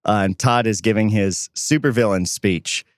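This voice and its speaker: A man co-hosting a podcast in casual behind-the-scenes chat, telling things in an animated, conversational way with plenty of "like". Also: lowish voice, normal speed, dry recording, clean studio sound. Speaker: podcast co-host and producer.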